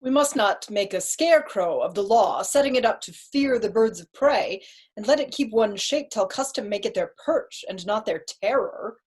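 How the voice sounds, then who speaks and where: Woman as strict unbending judge in shakespeare, bit rough voiced, measured n commanding. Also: medium pitch, animated, darkish tone. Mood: firm, cold. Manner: measured, authoritative. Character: stern, unbending male deputy ruler and judge. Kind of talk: Shakespearean staged reading.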